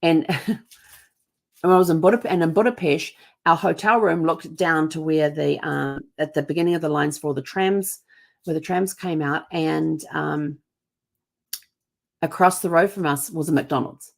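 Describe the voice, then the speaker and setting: A woman's voice: low-pitched, measured pace, gravelly. A middle-aged woman from New Zealand with a mixed Kiwi and American accent, telling travel stories in a chatty, rambling way. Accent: mix of New Zealand and American. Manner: chatty, lots of ums and restarts, puts on voices for others. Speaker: host. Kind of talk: casual travel storytelling.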